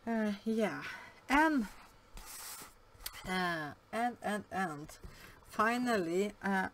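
A woman from Norway with a Norwegian accent talks slowly and a little low, stumbling over words with frequent fillers and restarts. She speaks English as a second language in a relaxed home vlog, and faint room noise sits behind her. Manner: hesitant, many fillers and restarts. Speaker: yarn vlogger. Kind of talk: casual show-and-tell vlog. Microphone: camera above the table, hands only.

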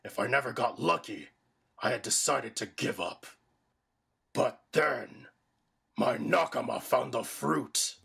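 A man playing a boastful, menacing pirate villain in an anime fan dub, with a gravelly, growling, theatrical delivery. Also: mid-range voice, takes it slowly, fairly bright tone, exaggerated voice. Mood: recounting. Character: gleeful, boastful hulking pirate villain wielding darkness.

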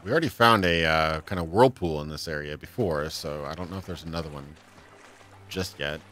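A man's voice: rumbling low, brisk, pushed and bright, a little hiss or hum. A man in his thirties casually chatting and laughing as he plays a game on a livestream, at a desk mic in a home room. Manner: chatty, laughs often, reads chat aloud. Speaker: livestream gamer. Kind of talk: casual let's-play chat. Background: faint game music.

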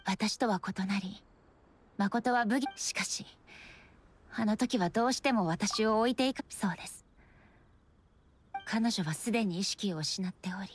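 An actress playing a solemn, gentle warrior woman in a video game, regal and reserved, with polite, formal, measured speech. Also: mid-range voice, a little hiss or hum, natural voice. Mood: sombre, reflective. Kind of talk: Japanese video game voice acting. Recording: game music behind. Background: game music.

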